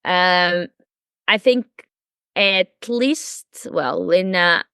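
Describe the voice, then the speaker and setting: A woman's voice: fairly low pitch, very slow, pushed and bright, clean tone, no room sound. A woman who grew up speaking Portuguese, a language teacher talking in a casual podcast conversation, thoughtful, with many fillers and restarts.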